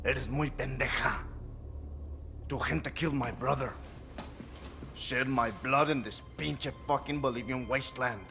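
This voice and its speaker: Proud, bitter cartel gangster: tense edge, fry in the voice, Spanish-laced cursing, crime game drama. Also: male voice, medium pitch, pushed and bright. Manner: cursing, contemptuous, mixes Spanish into English.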